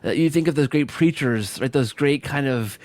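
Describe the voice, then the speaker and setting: A man with a medium-pitched voice hosts a casual interview in a dry, echo-free sound. He rambles tentatively, circling his point and seeking agreement as he goes. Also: normal speed, darkish tone. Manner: tentative, rambling, seeks agreement with tag questions. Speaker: podcast host and interviewer.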